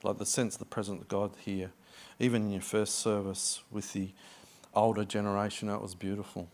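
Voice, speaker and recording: A man's voice: on the low side, flat delivery, fairly quick, darkish tone. A middle-aged Australian man, a guest pastor, preaching a sermon in an effusive, chatty way with personal stories.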